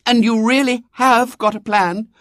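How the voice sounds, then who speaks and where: A man's voice: very high voice, fairly even intonation, a little slow, a bit bright, no echo, clean studio sound, voice pushed up. A man voicing an earnest, trusting and anxious little girl in a children's storybook, with an eager, plaintive manner. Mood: sorrowful, hopeful.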